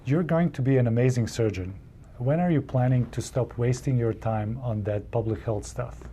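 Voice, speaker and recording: A man with a Serbian accent tells a personal story in English, earnest and halting, often restarting his phrases. His voice is very dark and mellow, and his pitch sits in a middling range. Faint office noise hums behind him. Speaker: public health colleague telling his story.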